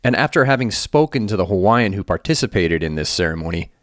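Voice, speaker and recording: A man from the United States narrating his travel video in a calm, measured, scripted voice-over. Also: lowish voice, no echo, clean studio sound. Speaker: travel vlogger. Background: background music.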